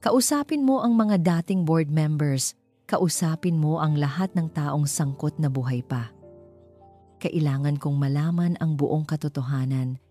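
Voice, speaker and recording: A woman's voice: sits low, a little slow, dark tone, faint music behind. A woman voicing a troubled, determined male company heir in an audio story, weary but commanding and earnest. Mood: weary, resolute.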